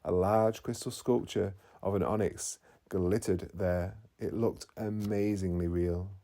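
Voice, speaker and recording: A man narrating a children's bedtime story in an expressive storytelling manner. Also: sits low, unhurried. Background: faint music.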